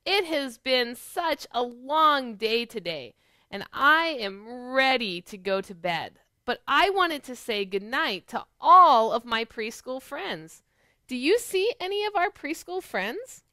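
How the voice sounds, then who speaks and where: A middle-aged woman talks to small children as a storytime host. Her voice is high and swoops widely, with an unhurried pace. She mixes chat, questions and picture-book reading in an animated way. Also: no room sound. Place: small living room at home, on a couch.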